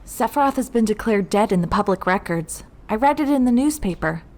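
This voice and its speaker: A woman's voice: medium pitch, animated, fairly quick, a little mellow, lightly acted. An actress playing a plucky, caring young woman fighter in a video game, direct and friendly. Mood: earnest. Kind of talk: dramatic video game acting.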